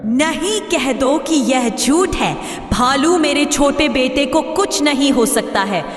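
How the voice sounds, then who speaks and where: A woman's voice: high-pitched, animated, takes it slowly. A woman voicing a fiercely protective, emotional animal mother in a jungle cartoon story. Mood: grief-stricken. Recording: echoey reverb, distant from mic.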